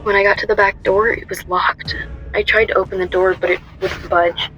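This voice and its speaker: A woman's voice: medium pitch, little pitch movement, a little fast, a bit bright, highs cut off, faint music behind, lightly acted. An actress playing a frightened young woman on the run, narrating her escape in the first person for a radio play. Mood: tense recounting.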